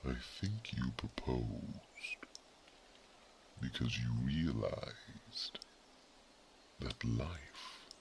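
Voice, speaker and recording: A man voicing a wise, devoted male mage who reads minds, speaking in a soft, formal whisper for an ASMR roleplay. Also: rumbling low, expressive, extremely slow, vocal fry, long pauses, slight background noise, heavily acted. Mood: tender.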